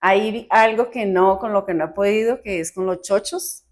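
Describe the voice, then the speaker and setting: Mujer colombiana, charla animada y cálida. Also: female voice, medium pitch, moderate pace. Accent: Bogotá Colombian. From Colombia, Bogotá. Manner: chatty, animated, laughs easily, self-correcting. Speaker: guest. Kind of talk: casual chat.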